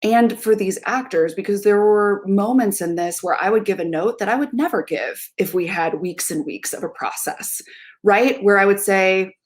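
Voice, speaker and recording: A woman's voice: mid-range voice, normal speed. Thoughtful woman giving interview answers. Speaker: theater director. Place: small room at home, on a video call.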